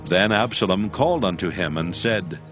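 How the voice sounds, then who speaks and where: Lowish-voiced man narrating scripture. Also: animated, moderate pace, a bit bright, soft background music.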